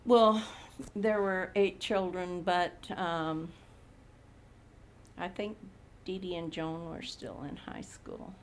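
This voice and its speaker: A woman in her eighties from Montana, recalling her childhood slowly in an oral history interview. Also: lowish voice, fairly even intonation, a little hiss or hum. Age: 80s. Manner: slow, unhurried recollection with frequent pauses. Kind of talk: reminiscing in a recorded interview.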